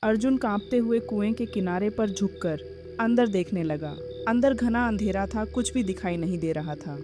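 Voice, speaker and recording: Female horror story narrator, medium pitch. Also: normal speed, music in the background.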